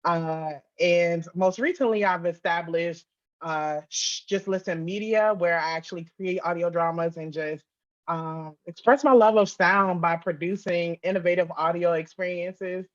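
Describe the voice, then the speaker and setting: A woman's voice: fairly low pitch, unhurried. American woman guest, slightly muffled sound. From Virginia, United States. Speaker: podcast guest, audio drama creator. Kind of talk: conversational interview answers. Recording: slightly dull, lo-fi call audio.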